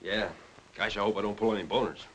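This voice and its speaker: An actor playing a naive, polite young cub reporter full of gee-whiz enthusiasm in a 1940s film. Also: male voice, on the low side, fairly even intonation, fast talker, fairly bright tone, natural voice, rough recording. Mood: eager, polite. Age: young adult. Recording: hissy 1940s film soundtrack.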